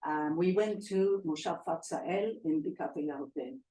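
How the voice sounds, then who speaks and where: An older woman from London with a softened London accent, giving a chatty talk to an audience with many fillers and restarts. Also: low-pitched, unhurried, a little hiss or hum. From England. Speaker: guest speaker. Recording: echoey hall, heard from far off.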